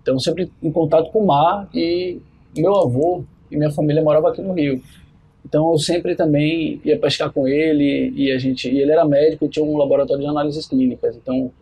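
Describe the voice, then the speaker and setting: A man's voice: a little high, steady tone, fairly quick, warm tone, husky, poor audio. A man with a Bahian Brazilian accent, speaking conversationally as a podcast guest about his own experience. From Salvador, Bahia, Brazil. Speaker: podcast guest, biology professor and researcher. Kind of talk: conversational account of own career.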